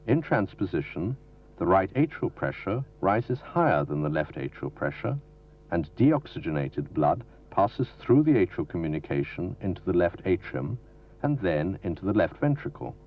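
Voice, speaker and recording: A man with a very low voice narrates an instructional medical film in a formal, didactic way. His measured delivery moves through a lively range of pitch. The sound is dull and lo-fi, like a 1970s film soundtrack copied from videotape. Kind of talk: scripted educational narration.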